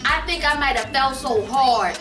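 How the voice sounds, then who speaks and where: A woman's voice: high voice, normal speed, bright, forceful tone. A woman telling a casual comedic family story in an exaggerated way, repeating phrases for emphasis. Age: adult. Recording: roomy, distant mic, music behind. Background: background music.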